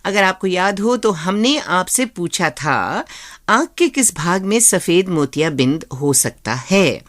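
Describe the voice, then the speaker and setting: Very animated Indian woman presenting radio. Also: on the low side, takes it slowly, dry recording, clean studio sound. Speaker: radio co-host.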